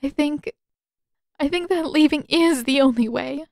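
A determined teenage girl, played by a woman narrator, pleads in a high, urgent, tearful voice. The storybook drama is earnest, and the recording is dry and close. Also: unhurried, creaky, darkish tone, no echo. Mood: desperate, tearful.

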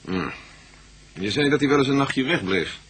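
An actor playing a shrewd, brisk police chief inspector in a radio crime drama, dry, probing and authoritative. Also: male voice, medium pitch, lively intonation, fairly bright tone, lightly acted. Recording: noisy old radio drama recording.

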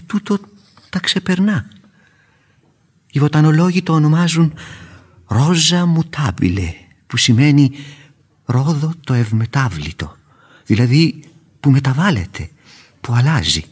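An actor playing a gentle older uncle who adores roses, speaking poetically and with wonder in radio theatre. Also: male voice, a little high, husky. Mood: proud wonder. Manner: wonder-struck, poetic, emphatic. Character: gentle older uncle, rapt rose-loving amateur gardener. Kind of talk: radio theatre acting, lyrical recitation. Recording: radio drama with guitar music behind.

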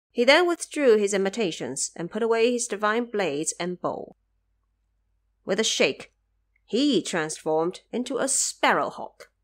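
A woman narrator telling a scripted story for an audio drama in an expressive, measured way. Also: mid-range voice.

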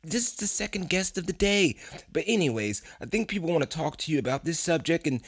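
Male radio host, comedic banter, very lively intonation, dry close sound, faint music. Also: on the high side, a little fast, slightly rough, no echo.

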